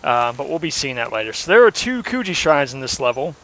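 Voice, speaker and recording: A hoarse young man giving casual, rambling commentary while playing a game, with lots of ums and uhs. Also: mid-range voice, big pitch swings, a little fast, fairly bright tone, slight background noise, rough recording. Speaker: let's play host.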